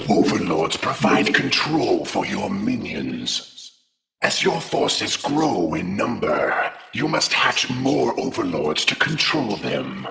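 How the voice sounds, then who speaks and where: A man voicing a stern, monstrous alien brood commander in a video game, instructing imperiously in a very gravelly voice. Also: low voice, background noise, exaggerated voice. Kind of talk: video game character briefing.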